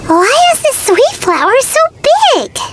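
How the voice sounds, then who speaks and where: A woman voicing a small, excitable childlike fairy companion in a video game, squeaky, perky and blunt. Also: a little slow, noisy background, exaggerated voice. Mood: puzzled. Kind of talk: English video game voice acting. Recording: loud music behind. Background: game music.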